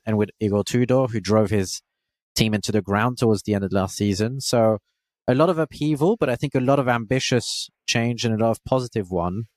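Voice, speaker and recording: Low-voiced male football pundit, dry sound. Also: no room sound. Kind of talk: podcast panel discussion.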